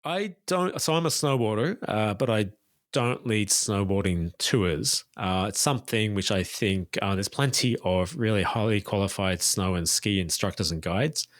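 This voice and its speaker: Low-voiced man, tour guide guest. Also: moderate pace, no room sound, clean studio sound. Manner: detailed, informative, enthusiastic recommendations. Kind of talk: casual interview chat.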